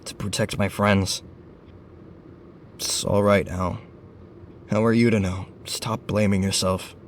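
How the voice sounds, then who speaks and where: A man's voice: lowish voice, little pitch movement, slow pace, a little hiss or hum. A man playing a guarded, stubborn rich teenage boy in an audio drama, speaking tersely and hesitantly. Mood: weary, stubborn. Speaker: voice actor.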